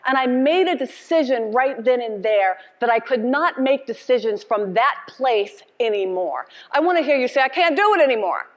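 Middle-aged woman, high voice, motivational stage speaker, passionate, emphatic, preacher-style repetition. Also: lively intonation, normal speed, few pauses, in a large hall. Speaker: keynote speaker. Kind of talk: motivational keynote address with call and response. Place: conference stage, indoors, before an audience.

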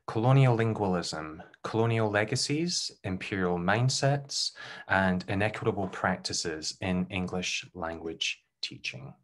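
Brief: young Scottish man, deep voice, webcam talk, careful delivery with ums. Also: expressive, a bit bright. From Scotland. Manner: measured, careful delivery with frequent um fillers. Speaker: doctoral researcher presenting. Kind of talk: recorded conference presentation.

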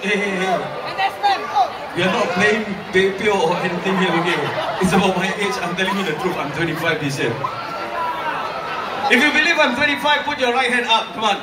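A young man with a Singaporean accent, a club DJ shouting jokes and calls to the crowd from the stage. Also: sits high, a little fast. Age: 20s. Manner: shouting, joking, crowd call-and-response. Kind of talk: hyping up a nightclub crowd. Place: packed nightclub stage. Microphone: handheld mic on stage, filmed from far in crowd. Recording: echoey club from far off, loud crowd noise.

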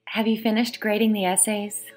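A woman's voice: medium pitch, flat delivery, fairly quick, faint music behind. A woman who is an author, reading her own book aloud as scripted narration.

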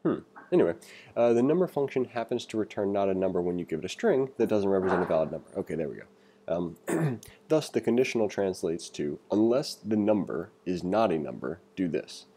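A man with a deep voice and a soft, dark tone leads a read-along programming tutorial. He moves between reading aloud and conversational asides, freely giving his own opinions as he explains. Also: big pitch swings, brisk, breathy edge. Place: voice-over for a screen recording.

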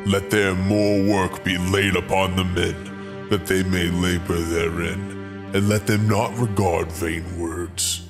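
An actor playing a stern, scornful, domineering king with slow, weighty delivery in a dramatized scripture reading. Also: sits low, flat delivery. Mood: harsh command. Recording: music behind the voice.

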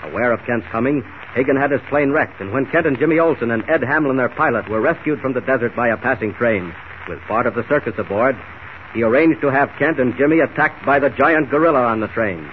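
A man's voice: mid-range voice, fairly quick. Male vintage radio announcer, declamatory serial narration, thin band-limited sound with faint hiss. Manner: dramatic, declamatory. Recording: tinny, noisy 1940 radio recording.

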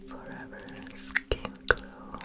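A young woman whispering gently and soothingly in an ASMR roleplay as a makeup artist, close to the mic. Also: slow. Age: young adult. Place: small indoor room. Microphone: close-up ASMR microphone.

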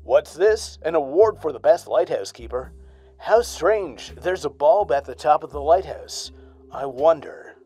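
A man's voice: fairly high pitch, a little mellow, husky, heavily acted. A man voicing an earnest male pulp hero in a parody of an old-time radio serial, delivered with square-jawed heroic gusto over faint music.

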